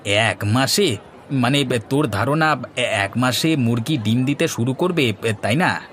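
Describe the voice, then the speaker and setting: A man as an excitable, doubting cartoon villager. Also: mid-range voice, a little slow, slight background noise, lightly acted. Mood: doubtful. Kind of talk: comic Bengali cartoon dialogue. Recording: music behind the voice.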